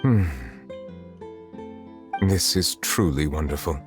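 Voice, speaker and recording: An actor playing a grave, dignified chief justice in a video game, speaking formally and with composure. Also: male voice, low voice, animated, over music. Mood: composed. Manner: formal, measured, stately.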